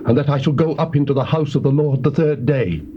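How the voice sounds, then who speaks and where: A man's voice: mid-range voice, brisk, close to an everyday voice. A man playing a pious king in a scripture drama, speaking earnestly and humbly in formal archaic phrases. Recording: muffled, noisy old cassette tape.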